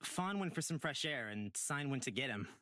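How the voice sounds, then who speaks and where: A man's voice: mid-range voice, animated, normal speed, sharp tone, no echo, studio quality. A man playing an earnest, protective, trusting young character in a fantasy visual novel. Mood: defensive.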